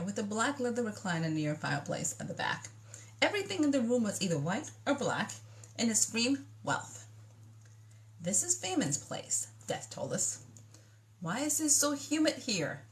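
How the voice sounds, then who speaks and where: A woman's voice: mid-range voice, normal speed. Chatty woman with a Dominican accent. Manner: chatty, animated dialogue voices. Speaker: author reading her own book. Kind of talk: book reading with asides.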